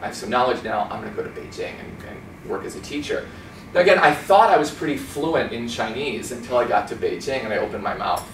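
Animated man in a roomy meeting room. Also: mid-range voice, brisk, a little hiss or hum. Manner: chatty and animated, gestures a lot, mimics accents. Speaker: author giving a talk. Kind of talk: informal talk with slides. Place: small conference room. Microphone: far camera. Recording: roomy sound from a far camera.

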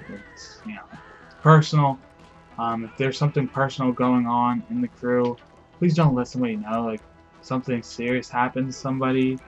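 A young man with a hoarse, strained voice lays out rules for his online crew. He rambles and pleads, fills gaps with "um" and "like", and swears now and then. His pitch sits in the middle, and faint music plays behind him. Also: poor audio. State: losing his voice. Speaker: crew leader giving rules. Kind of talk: casual rambling talk over gameplay.

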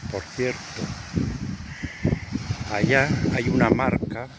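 A man's voice: medium pitch, steady tone, slow. An older man from Spain in his sixties chatting to viewers in a casual vlog as he works on his boat and walks the beach. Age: 60s. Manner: chatty, talks to viewers while walking and working. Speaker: retired sailor vlogger. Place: outdoors on a boat, beach and town. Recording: noisy outdoor camera audio.

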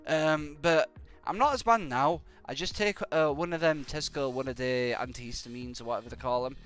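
A young man with a British accent and a croaky voice from hay fever, chatting to viewers in a rambling, jokey way while he plays a game live. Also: medium pitch, a little fast, a bit bright, faint music behind. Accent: British English. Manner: rambling and jokey, hums nonsense syllables. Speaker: game streamer.

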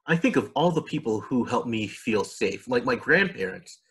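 A man's voice: medium pitch, lively intonation, moderate pace, fairly bright tone, slightly rough, full character voice. A man voicing an earnest, caring young child in a storybook, explaining things. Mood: earnest, tender.

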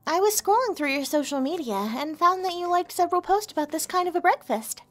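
A woman's voice: very high voice, fairly quick, fairly bright tone, faint music behind. A woman playing a sweet, clingy, possessive young neighbor in love, coyly addressing the listener in an ASMR roleplay. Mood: lovestruck, possessive. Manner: coy, sugary, insinuating, hesitant.

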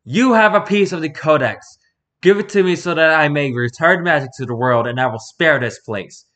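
A man's voice: on the high side, normal speed, a bit bright, close to an everyday voice. A man voicing an ancient, ravaged female dragon goddess who speaks in a commanding, formal way.

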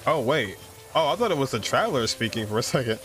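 A young man reacts to a video game in casual, excitable chat. His voice sits in a middle register, full of slangy exclamations, with game music playing behind him. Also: medium pitch, quick pace. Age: young adult.

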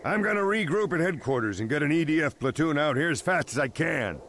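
Game role: urgent, commanding army chief, gruff and emphatic, highish animated voice, slight background noise. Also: male voice, brisk. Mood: grim, briefing. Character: gruff, urgent male military commander giving orders. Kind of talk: video game cutscene acting.

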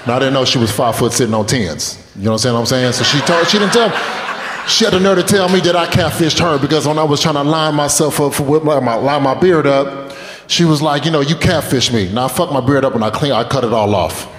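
A stand-up comic in his twenties talks loosely and profanely, chatting with the crowd between stories. His mid-pitched voice rings out across a club with a long, echoing reverb. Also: fast talker, noisy background. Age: 20s. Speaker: stand-up comedian. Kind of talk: stand-up comedy set. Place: comedy club stage. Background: audience laughter.

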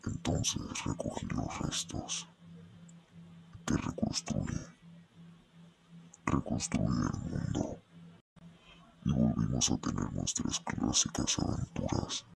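Monster dog for horror cartoon, super low gravelly growl, slow and menacing, sad and possessive. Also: male voice, lively intonation, heavily acted. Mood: mournful, menacing. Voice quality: guttural, breathy growling rasp. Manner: slow, brooding, menacing delivery. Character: monstrous talking great dane, possessive, menacing, sorrowful creature. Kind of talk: horror cartoon fan dub.

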